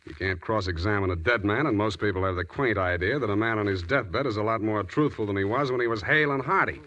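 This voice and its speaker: An American actor playing a cynical, hard-boiled private detective in 1940s radio drama, with a dry, sardonic, clipped delivery. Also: male voice, on the low side, fast talker, fairly bright tone, highs cut off, natural voice. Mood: dry, skeptical. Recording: noisy old 1940s radio broadcast.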